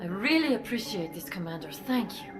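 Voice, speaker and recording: An actress playing a worried clinic doctor in a video game, earnest and polite. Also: female voice, medium pitch, sing-song, a little fast, over music, natural voice. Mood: grateful. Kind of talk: video game character acting.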